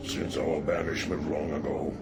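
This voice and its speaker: An actor playing a solemn, ancient alien dark templar in a video game, speaking in a formal, grave way. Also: male voice, very deep voice, little pitch movement, moderate pace, very noisy. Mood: solemn resolve. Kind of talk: scripted video game acting.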